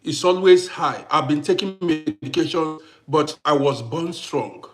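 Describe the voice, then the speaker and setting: A man hosting a casual livestream who greets viewers by name, repeats himself, and swings between loud bursts and quiet asides. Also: on the high side, a little slow, over music. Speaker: livestream host.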